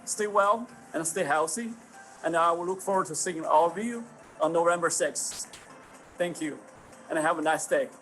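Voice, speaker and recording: Young man, higher voice. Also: normal speed, fairly bright tone, soft background music. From China. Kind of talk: recorded welcome message.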